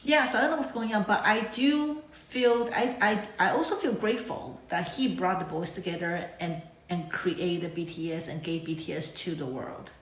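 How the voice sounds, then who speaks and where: Female reaction host, lower register, casual and enthusiastic fan energy. Roomy, echoey sound. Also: moderate pace, few pauses, a little hiss or hum. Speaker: reaction video channel host. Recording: echoey room.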